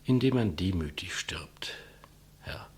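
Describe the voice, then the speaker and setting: An actor playing a humble, despised executioner in a 1950s German radio play, deferential, plain-spoken and reflective. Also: male voice, deep voice, lively intonation, takes it slowly, slightly rough, heavily acted. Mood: calm, humble. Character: humble, despised old-school male executioner, quietly matter-of-fact. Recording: muffled vintage 1959 radio recording.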